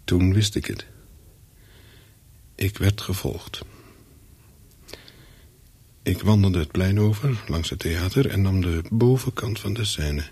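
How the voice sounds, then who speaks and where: An actor playing a wary, hunted courier, telling his story in a radio thriller in short, clipped, deliberate sentences. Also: low-pitched, mellow, breathy edge, heavily acted. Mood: wary, brooding. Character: hunted male courier of smuggled microfilms, wary, coolly calculating. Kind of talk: first-person thriller narration in a radio play.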